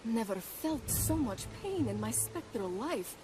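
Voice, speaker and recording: High swoopy ghost princess, sarcastic and teasing, game dialogue with music behind. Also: female voice, sing-song, measured pace. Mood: wry teasing. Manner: teasing, wry, theatrical. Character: sardonic, playful ghost princess, young woman. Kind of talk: video game character acting.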